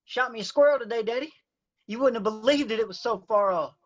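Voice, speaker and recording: A hot-headed hillbilly youngster in a radio play, very high-voiced and eager. He boasts breathlessly in an Appalachian accent, and the sound is slightly dull. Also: quick pace. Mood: excited, boastful. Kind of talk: radio drama acting.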